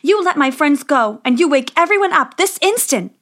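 Young storybook princess played by a woman, high voice, earnest, sweet, polite yet brave. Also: animated, moderate pace. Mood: firm. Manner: bright, earnest, higher than narration.

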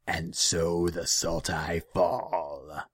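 A man voicing a scornful, imperious Rakshasa demon in a fantasy story, drawing words out slowly and contemptuously. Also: deep voice, little pitch movement, husky, dry recording, exaggerated voice. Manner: slow, drawn-out, contemptuous.

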